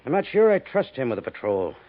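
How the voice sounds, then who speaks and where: An actor playing a gruff, skeptical cavalry major, brisk and authoritative, in 1950s radio drama style. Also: male voice, medium pitch, very animated. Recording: tinny narrow-band 1950s radio recording.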